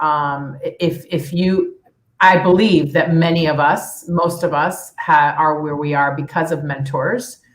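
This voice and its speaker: A woman who grew up partly in Peru, a nonprofit leader speaking earnestly and reflectively on a video call panel, with many restarts. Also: deep voice. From United States, raised partly in Peru. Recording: echoey room on a video call.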